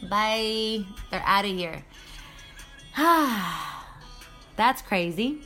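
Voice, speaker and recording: A woman's voice: mid-range voice, expressive, very slow, bright, forceful tone, faint music behind. A woman in her thirties talking candidly in a casual personal vlog, chatty and loose with slang and the odd swear word. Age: 30s. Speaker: vlogger.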